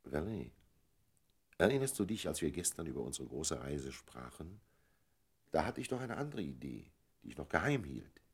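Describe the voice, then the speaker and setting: An actor playing a wise, fatherly diplomat of fifty-six in a radio play, with measured, theatrical phrasing. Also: rumbling low, sing-song, husky, exaggerated voice. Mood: reflective. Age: 50s. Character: 56-year-old diplomat, wise, fatherly, self-denying gentleman friend.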